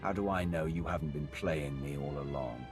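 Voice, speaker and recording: A grim, suspicious commander from a video game. His voice is extremely low with a gravelly creak, and he gives terse, stern orders over music. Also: normal speed. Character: grim, hardened male military commander, suspicious and resolute.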